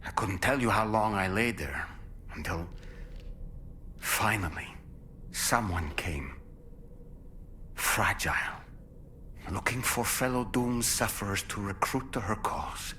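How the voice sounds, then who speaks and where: An actor playing a sorrowful father, a medium trapped inside a doll, telling his story slowly and solemnly in a video game cutscene. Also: lowish voice, expressive, pushed and bright, a little hiss or hum, heavily acted. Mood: sorrowful, regretful. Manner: slow, solemn, reflective storytelling.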